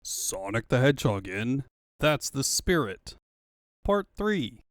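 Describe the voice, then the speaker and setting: Unhurried male comic-dub narrator. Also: mid-range voice, big pitch swings, dry recording, clean studio sound.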